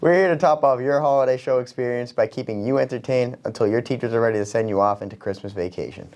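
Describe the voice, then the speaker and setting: A man's voice: medium pitch, fast talker, darkish tone. Teenage boy co-hosting with scripted jokes. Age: teens, high school student. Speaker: student co-host of a school holiday show. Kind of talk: scripted comedy banter.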